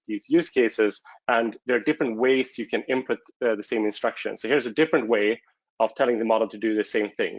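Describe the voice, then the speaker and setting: A man's voice: fairly low pitch, no room sound, dull audio. A man whose first language is Swedish, presenting a technical talk at a conference and hesitating often with fillers and restarts.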